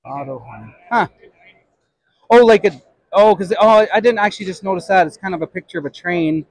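A middle-aged man with a husky voice chatting casually as he shops for a vlog. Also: high-pitched, expressive, brisk, no room sound, poor audio. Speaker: vlogger shopping at a swap meet. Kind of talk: casual chat. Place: large busy indoor swap meet hall.

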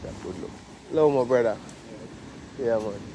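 A man from Jamaica with a Jamaican accent, chatting casually and warmly as a travel vlogger outdoors by the sea. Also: mid-range voice, expressive, normal speed, slight background noise, rough recording. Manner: friendly, slangy, laughs often. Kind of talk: casual travel vlog chat.

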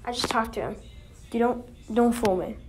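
A woman acting out dialogue for an amateur animated story, dramatic and sometimes restarting her scripted lines. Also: fairly high pitch, normal speed, over loud music.

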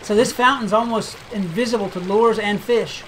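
A game video creator giving casual gameplay commentary, thinking aloud in a relaxed, chatty way. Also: mid-range voice, normal speed, a little hiss or hum, low-quality recording. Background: game music.

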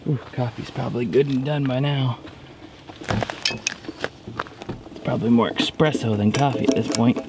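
A man's voice: medium pitch, unhurried, pauses often, very noisy. A man in his thirties narrating a survival vlog outdoors in the woods, with dry, joking humor. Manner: jokey, dry humor, chuckles at himself. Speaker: bushcraft vlogger. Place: outdoors in woodland camp. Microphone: camera mic outdoors.

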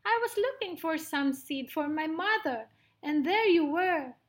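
A woman voicing a tiny, polite, earnest female gray mouse in a children's storybook, squeaky and eager. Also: lively intonation, a little slow. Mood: frightened, apologetic. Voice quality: high, squeaky.

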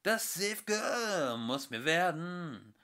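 A man's voice: on the high side, big pitch swings, sharp tone, voice pushed up, full character voice. A man voicing an overcheerful male ship computer in an audiobook reading, whimpering hymns nasally. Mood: wailing song. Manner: drawn-out, slowed singing lines.

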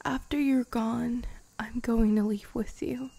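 A woman voicing a loving young vampire girlfriend in an intimate, improvised ASMR roleplay, tender and hushed, often slipping into whispers, close on the microphone. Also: on the high side, steady tone, slow pace, vocal fry, breathy edge, no room sound, heavily acted. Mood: fearful, devoted. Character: loving young vampire girlfriend, playful yet afraid of loss.

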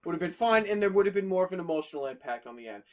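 A man's voice: high voice, lively intonation, rapid-fire, darkish tone. A man co-hosting a casual podcast who agrees a lot, restarts his sentences and swears. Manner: agreeable, repeats "right" and stumbles over restarts, swears. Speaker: podcast co-host. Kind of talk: casual chat reviewing a horror story.

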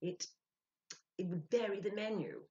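A woman's voice: mid-range voice, measured pace, mellow, voice pushed up, lightly acted. A woman in her sixties voicing an earnest, self-important male spirit medium in a storybook reading, emphatic and self-justifying. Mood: confiding. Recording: dull lo-fi home recording.

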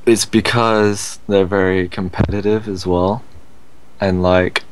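A man with a very low voice that barely rises or falls talks over an online voice call. He argues his side and keeps pushing back at the others. Faint hiss sits under the computer mic. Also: fairly even intonation, slow pace. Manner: argumentative, pushes back on others. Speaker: Skype call participant. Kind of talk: casual group chat.

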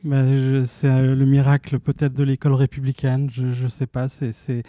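A man in his fifties from Algeria, an author answering interview questions haltingly, with many restarts, holding a handheld mic on a stage indoors. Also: mid-range voice, monotone, mellow, dry recording, clean studio sound. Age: 50s. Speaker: author guest. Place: cultural institute stage, indoors.

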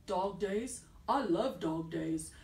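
A woman's voice: medium pitch, lively intonation, takes it slowly, creaky, darkish tone, roomy sound, distant mic. A silly puppet dog, voiced by a woman for a preschool show. The dog is lazy and easygoing, with a playful way of talking.

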